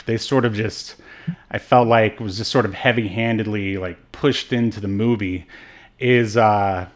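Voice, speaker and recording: Casual, halting male podcast co-host. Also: fairly low pitch, fairly even intonation. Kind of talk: casual movie discussion chat.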